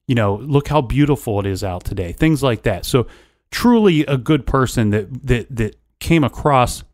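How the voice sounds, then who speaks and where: A man's voice: mid-range voice, lively intonation, moderate pace, darkish tone, no echo, clean studio sound. Laid-back man co-hosting a crime podcast. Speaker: podcast co-host. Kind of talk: casual true crime chat.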